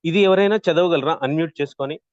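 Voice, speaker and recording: A man teaching an interactive online class as a trainer, explaining with examples and often checking in with a quick "right?". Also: fairly high pitch, no echo, highs cut off. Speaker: voice modulation trainer.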